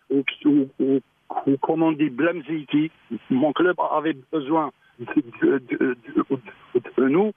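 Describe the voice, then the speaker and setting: A man, a local mayor, speaking on a radio phone-in, halting and repeating words, with a choked-up voice. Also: on the high side. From Algeria. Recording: tinny phone line.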